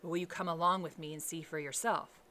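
A woman's voice: fairly low pitch, fairly even intonation, fast talker, pushed and bright, slight background noise. A woman voicing a frightened, deferential male butler in a storybook reading, hesitant and dogged. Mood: fearful, pleading.

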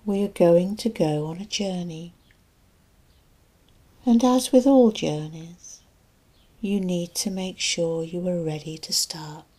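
Slow, low, breathy woman guiding sleep hypnosis. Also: dark tone. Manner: slow, soothing, deliberate delivery with long pauses. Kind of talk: guided relaxation and sleep hypnosis narration.

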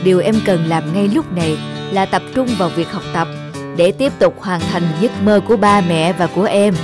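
A woman's voice: lowish voice, normal speed, music in the background, natural voice. A woman voicing an encouraging middle-aged male teacher in a cartoon, instructive and reassuring. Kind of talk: animated moral-story dub.

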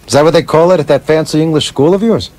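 An actor playing a cynical, wisecracking veteran screenwriter in a radio play, sardonic and needling. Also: male voice, fairly high pitch, fast, a little mellow, natural voice. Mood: gleeful, needling.

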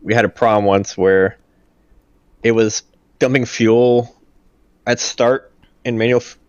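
A man's voice: medium pitch, fairly even intonation, unhurried, clean tone, no room sound, highs cut off. A man who works as a Marine aircraft mechanic answers technical questions in a plain-spoken, casual way over a video call.

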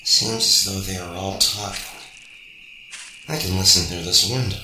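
A man plays a stealthy male cyborg hedgehog with a lowish, very sharp and forceful voice. He is terse and matter-of-fact, in an amateur radio drama with roomy echo. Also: steady tone, moderate pace. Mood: annoyed, sneaky. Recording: roomy echo, faint background noise.

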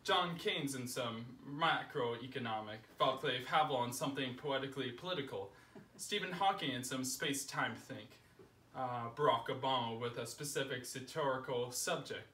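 A young man reading a humorous essay aloud in a projected, deliberate, mock self-important way. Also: mid-range voice, sharp tone. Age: young adult. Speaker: reader at a home literary salon. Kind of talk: live reading of a humorous first-person essay. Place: living room, indoors, small seated audience. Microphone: distant camera microphone. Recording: roomy echo, distant camera mic, faint noise.

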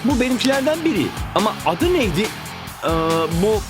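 Sincere young cartoon soccer hero, high voice, music underneath. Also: male voice, sing-song, brisk. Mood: puzzled. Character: earnest young male football hero. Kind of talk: animated cartoon dub. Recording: music behind the voice.

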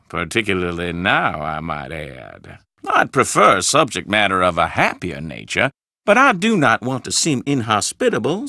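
A creaky, courtly old Southern gentleman. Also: lowish voice, no room sound, studio quality, exaggerated voice. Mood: charming, delighted. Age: older. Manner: florid, courtly, drawn-out phrasing. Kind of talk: video game character dialogue.

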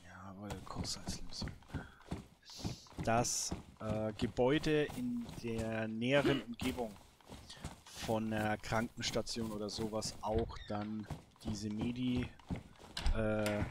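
A man giving casual let's play commentary as host, thinking aloud in short, halting phrases. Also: on the low side, steady tone, noisy background. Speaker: host of a gameplay series.

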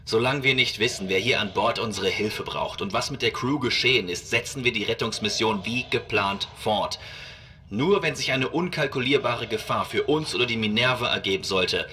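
A man's voice: on the low side, moderate pace, pushed and bright. A man playing a resolute starship captain in a sci-fi audio drama, firm and commanding.

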